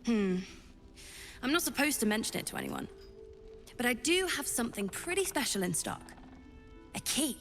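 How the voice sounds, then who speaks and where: A woman voicing an eager, cheeky tiefling child shopkeeper in a video game, excitable and full of sales patter. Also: fairly high pitch, moderate pace, pauses often, soft background music. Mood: eager sales pitch.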